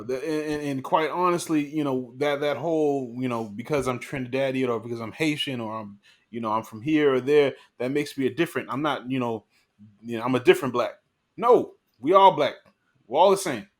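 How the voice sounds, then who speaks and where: Brief: earnest male co-host, casual and rambling, middling pitch. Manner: earnest, many restarts and "you know". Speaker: podcast co-host. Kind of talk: casual discussion.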